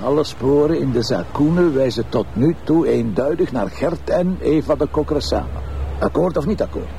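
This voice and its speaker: A man's voice: a little high, expressive, moderate pace, creaky, dark tone, a little hiss or hum. A man playing a shrewd Flemish police investigator who presses with pointed questions in a TV crime drama. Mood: insistent, probing. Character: shrewd, insistent male police investigator reasoning through a case. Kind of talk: naturalistic TV crime drama acting.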